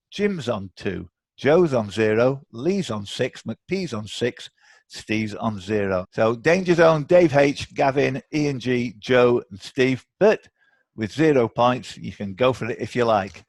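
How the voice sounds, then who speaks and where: A British man hosts a live online quiz in a chatty, bantering way. His voice sits at a medium pitch. The sound is dry, with little room around it. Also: expressive, a little slow, darkish tone, no room sound. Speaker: quiz host. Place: indoors, on a video call.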